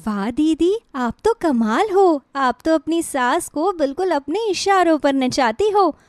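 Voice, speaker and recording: A woman voicing a cheeky younger sister in a cartoon story, gleeful and flattering. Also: high-pitched, measured pace, no room sound, studio quality, voice pushed up. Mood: gleeful, admiring.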